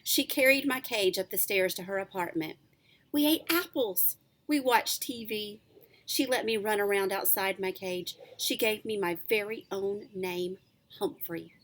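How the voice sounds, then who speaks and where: A woman, a teacher reading a children's book aloud in an expressive, animated storytelling way. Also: medium pitch, unhurried.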